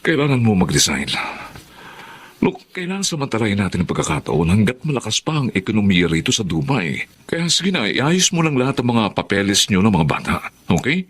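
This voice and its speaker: A Filipino husband in a radio drama. He has a lowish, lively voice and presses his point persuasively, an ambitious family man who insists on his plans. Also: fairly quick, husky. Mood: urging. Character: insistent ambitious husband and father working abroad.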